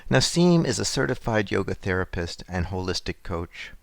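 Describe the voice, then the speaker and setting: Calm, low-voiced male host. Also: normal speed, gravelly, no echo. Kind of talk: scripted intro and interview questions.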